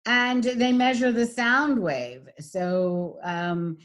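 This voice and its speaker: A middle-aged woman with a husky voice, giving a recorded lecture as a voice and speech teacher, with frequent fillers. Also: a little high, steady tone, drawn-out words. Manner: demonstrates voice types, lots of fillers.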